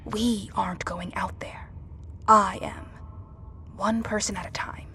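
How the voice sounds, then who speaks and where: A woman's voice: mid-range voice, fairly even intonation, slow, bright, forceful tone, whispered. A woman playing a tense, protective survivor who gives firm, clipped instructions in an audio drama. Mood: hushed, careful. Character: tense, commanding woman protecting her companions in disaster.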